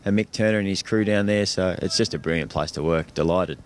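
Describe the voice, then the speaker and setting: A man's voice: low-pitched, fairly quick. An Australian man, a coach, giving fluent, enthusiastic answers in an interview. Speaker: football coach.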